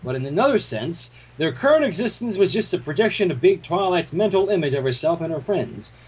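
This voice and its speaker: Animated high-voiced man with a husky edge. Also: fairly quick, low-quality recording. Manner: animated, stumbles and breaks off to comment. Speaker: fan fiction reader and narrator. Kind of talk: story reading with joking asides.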